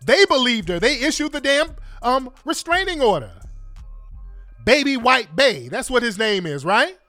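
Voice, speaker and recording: A man's voice: extremely high pitch, sing-song, unhurried, sharp tone, dry recording, faint music behind. A congested man ranting bluntly and profanely to his viewers in an exasperated commentary. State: congested from a cold. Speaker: online commentator.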